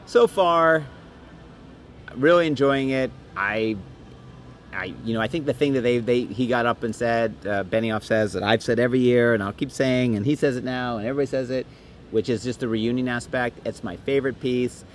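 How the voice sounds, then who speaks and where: A middle-aged man co-hosting a podcast, chatting casually and rambling with restarts and jokes, his voice going hoarse. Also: medium pitch, normal speed, a little hiss or hum. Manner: rambling, self-interrupting and joking. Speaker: podcast co-host. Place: busy conference venue.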